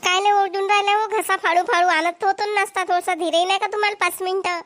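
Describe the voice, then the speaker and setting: A spoiled young cartoon wife, acted by a woman, argues in an extremely high, childlike pitch. She sounds whiny and defiant, with a Vidarbha Marathi accent. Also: steady tone, fast, a bit bright. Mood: defensive. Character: spoiled, argumentative young wife, fond of shopping. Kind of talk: amateur cartoon voice acting.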